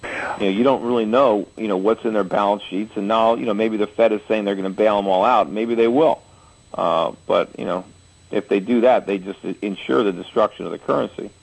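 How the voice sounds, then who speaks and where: Husky middle-aged male radio host. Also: lowish voice, fairly even intonation, fairly quick, poor audio. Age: 40s. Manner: explains with homely analogies, frequent restarts. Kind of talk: call-in radio talk.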